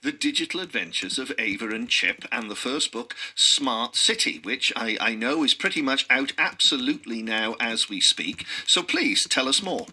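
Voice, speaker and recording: A man's voice: medium pitch, lively intonation, moderate pace, hardly any pauses, husky, poor audio. A man hosting an interview, asking questions and encouraging his guest with frequent affirmations. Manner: warm, encouraging, with many affirmations. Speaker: interviewer host. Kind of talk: radio-style interview.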